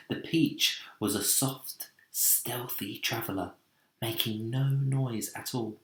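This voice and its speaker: A man reading a children's story aloud as narration, deliberate and dramatic in delivery. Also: mid-range voice. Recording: echoey room.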